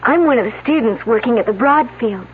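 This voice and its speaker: An actress playing a frightened young female student in a radio drama, timid, anxious and halting. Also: fairly high pitch, expressive, slight background noise, lightly acted. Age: young adult. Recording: thin telephone-like old radio broadcast.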